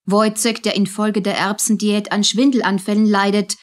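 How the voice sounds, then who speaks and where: A woman's voice: mid-range voice, level tone, normal speed. A woman narrating a German radio play in a scripted, storytelling style.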